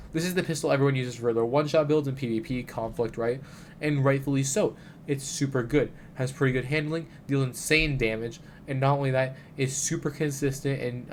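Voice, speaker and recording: A man with a cold gives a loose, chatty game guide, and his voice comes out stuffy through a blocked nose. He wanders through his points with lots of fillers and restarts, at a middling pitch. A faint hiss sits behind him. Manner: rambling, many fillers and restarts. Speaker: gaming video creator. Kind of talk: casual game guide commentary.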